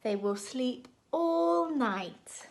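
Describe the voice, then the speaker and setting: A woman reading a picture book aloud to young children in an expressive, deliberate storytime style. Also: high-pitched, creaky, a little hiss or hum. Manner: expressive, animated, deliberate phrasing for small children.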